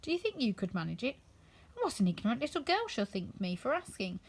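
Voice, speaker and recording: Woman as a high-voiced, curious little girl. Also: big pitch swings, a little fast, husky, heavily acted. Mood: musing. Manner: wondering, self-questioning. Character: curious, chatty young girl musing to herself.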